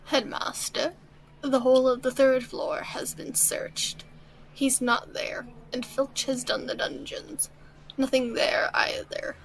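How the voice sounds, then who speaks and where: Woman doing stern sneering male wizard teacher, high for the part, drawn out and creaky, audio drama. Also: little pitch movement, slight background noise, poor audio. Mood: grave, suspicious. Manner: slow, clipped, disdainful.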